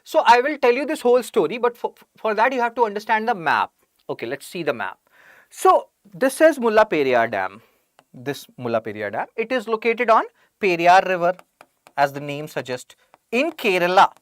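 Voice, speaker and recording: A woman's voice: mid-range voice, big pitch swings, moderate pace, no room sound, clean studio sound. A woman with an Indian English accent giving a chatty online exam-prep lecture, joking with sarcastic asides and reading out her students' names. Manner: reads out student names from chat. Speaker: teacher.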